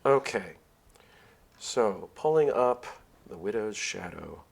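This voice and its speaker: Low-voiced man chatting on a game stream. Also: lively intonation, vocal fry, slightly rough. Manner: halting, hesitant, thinking aloud. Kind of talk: casual livestream chat to viewers. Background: game music.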